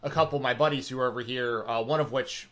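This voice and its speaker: A man hosting a live stream in casual chat, relaxed and rambling, with many fillers. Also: medium pitch, fast. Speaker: podcast host.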